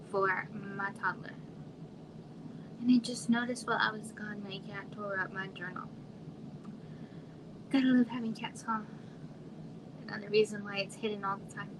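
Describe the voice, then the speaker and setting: A woman from Arizona in her thirties, chatting casually on a home livestream in a quiet, soft voice that trails off and sometimes drops to a whisper. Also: medium pitch, steady tone, normal speed, pauses often. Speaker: livestream host. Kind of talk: casual live chat with viewers. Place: home room. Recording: noisy home livestream audio.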